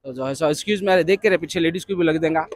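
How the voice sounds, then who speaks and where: Hyderabadi man in his thirties fronting a vlog, high-voiced and playful, handheld mic. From Hyderabad, India. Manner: jokey, teasing, quick to laugh. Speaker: vlogger host. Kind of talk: casual vlog chat. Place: crowded indoor expo hall and outdoor food stall.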